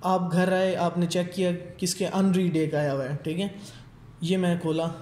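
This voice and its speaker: A man's voice: sits high, little pitch movement, a little slow, a little mellow. A man giving a casual step-by-step technical tutorial, mixing in English terms and often checking in as he instructs. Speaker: technical trainer. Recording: echoey room with faint background noise.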